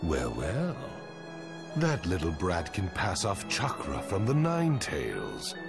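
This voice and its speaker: A smug, imperious warlord in a lowish, drawling voice. He is slow and condescending, an anime game villain heard over loud music. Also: huge pitch swings, vocal fry, exaggerated voice. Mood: amused contempt. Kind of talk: dubbed anime game acting.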